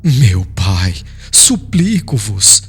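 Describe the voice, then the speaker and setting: Gentle young Hebrew man voiced by a male narrator, respectful and earnest, slightly rough voice, expressive audiobook pleading. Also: lowish voice, very slow, faint music behind, exaggerated voice. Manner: earnest, humble, imploring. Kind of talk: dramatized audiobook reading.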